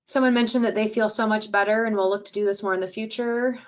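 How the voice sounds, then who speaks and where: A woman with a soothing voice presenting a wellness webinar, calm and gentle, with frequent fillers. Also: mid-range voice, fast, lo-fi sound. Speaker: webinar presenter and podcast host.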